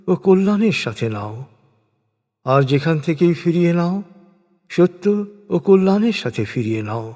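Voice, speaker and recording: A man giving a solemn, measured scripted reading of translated scripture, phrase by phrase with pauses. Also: on the high side, a little mellow. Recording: long hall-like reverb.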